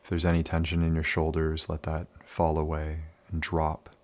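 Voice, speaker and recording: A man leading a guided meditation in a calm, soothing, unhurried manner with many pauses. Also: extremely low pitch.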